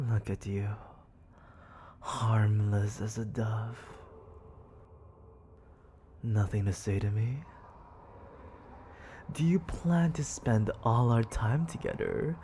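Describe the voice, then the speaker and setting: Demon lord played by a man for ASMR roleplay: dark mellow murmur, unhurried, menacing and condescending, toying with the listener. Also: on the low side, a little hiss or hum. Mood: mocking, amused. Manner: condescending, taunting, languid and deliberate.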